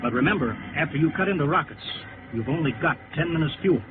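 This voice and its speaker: An actor playing an anxious, cautious rocket project chief with an insistent, instructing manner, in 1950s radio drama style. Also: male voice, a little high, animated, quick pace, natural voice. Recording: tinny, noisy vintage radio recording.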